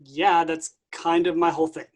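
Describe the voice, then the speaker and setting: A man playing a smug, flippant Jesus with playful, drawn-out teasing in a staged comedy reading. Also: fairly high pitch, level tone, close to an everyday voice. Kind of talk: staged reading of a comic play.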